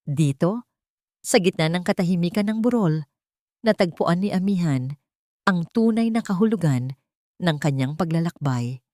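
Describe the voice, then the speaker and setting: A woman's voice: lowish voice, lively intonation, normal speed, long pauses, a little mellow, dry recording, clean studio sound. A woman with a Filipino accent reading a scripted dramatic story as an audiobook narrator.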